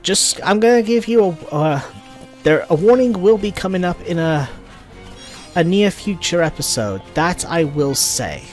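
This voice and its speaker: A man hosts a casual let's play in a fairly high, very animated voice. He talks through the game as he plays, with music running underneath. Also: measured pace. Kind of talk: casual gameplay commentary.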